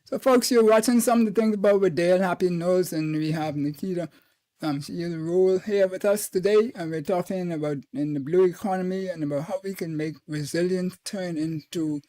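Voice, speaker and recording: A man's voice: a little high, moderate pace, warm tone, dry recording. A Bahamian man hosting an interview podcast, easygoing and conversational, dropping in short agreements. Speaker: podcast host. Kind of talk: casual interview chat.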